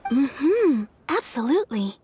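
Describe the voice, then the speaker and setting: An actress playing a courteous, eager kimono shopkeeper in a video game, polite, helpful and chatty. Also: female voice, high-pitched, very animated, takes it slowly, noisy background, full character voice.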